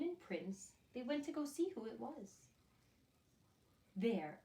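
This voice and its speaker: Echoey, animated woman reading to young children. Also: medium pitch. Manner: expressive, addresses children directly with questions. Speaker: children's storyteller and teacher. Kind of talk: read-aloud picture book for young children with asides and questions. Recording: echoey room, distant microphone.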